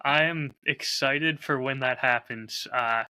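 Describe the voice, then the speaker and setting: A man's voice: medium pitch, slow, bright, forceful tone, clean tone, dry recording. A man talking casually as a host, showing off a bottle while recording on a close lav mic. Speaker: podcast host and whiskey audio drama creator.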